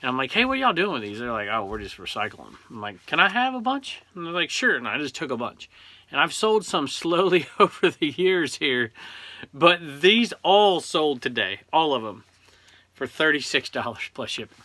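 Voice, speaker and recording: A man's voice: on the high side, sing-song, moderate pace, pushed and bright. A man in his forties with a Southern US accent, hosting a casual reselling vlog in a chatty, rambling way with frequent chuckles. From Southern California. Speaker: eBay reseller and show host.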